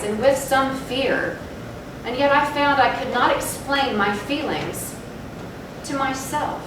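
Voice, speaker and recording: A woman giving a measured, solemn dramatic narration of a gothic story in a stage reading. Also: on the high side, close to an everyday voice. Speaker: narrator in a readers' theatre. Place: live theatre stage, recorded from afar. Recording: very echoey, distant, noisy hall.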